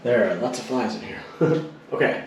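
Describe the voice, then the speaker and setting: A man's voice: medium pitch, normal speed. A man talking casually as he films a family travel vlog. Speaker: travel vlogger, father. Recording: noisy handheld vlog, street and ambient noise.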